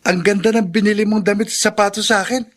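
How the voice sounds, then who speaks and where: Guy acting a cheery young grad, sweet little brother, pretty high pitched, pinoy radio drama. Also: steady tone, quick pace, over loud music, close to an everyday voice. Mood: grateful, hopeful.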